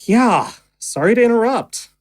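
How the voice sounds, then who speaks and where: A woman's voice: on the high side, animated, unhurried, a little mellow, dry recording, clean studio sound. A woman playing a young stage magician, affectionate and easily flustered, in a naturalistic audio drama. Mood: awkward, flustered.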